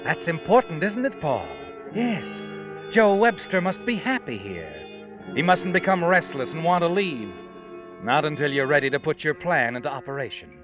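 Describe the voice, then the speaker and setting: An actor playing a knowing, sardonic narrator, theatrical and insinuating, in an old-time radio drama. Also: male voice, on the high side, lively intonation, moderate pace, lightly acted. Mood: knowing, ominous. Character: knowing, sardonic male narrator addressing the schemer. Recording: muffled vintage radio recording. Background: music.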